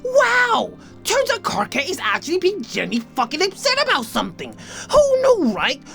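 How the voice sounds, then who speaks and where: A woman's voice: extremely high pitch, wildly swooping pitch, normal speed, gravelly, a bit bright, heavily acted. A woman voicing a sweary young male alien in a comic dub, sarcastic and wordy, prone to florid insults. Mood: indignant, sarcastic. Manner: indignant, sarcastic, verbose, sweary. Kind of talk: comic fan dub voice acting. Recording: music behind the voice.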